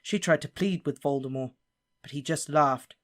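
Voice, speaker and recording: Brief: earnest teenage boy wizard, quiet but insistent, read by the narrator. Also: mid-range voice, normal speed, natural voice. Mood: determined.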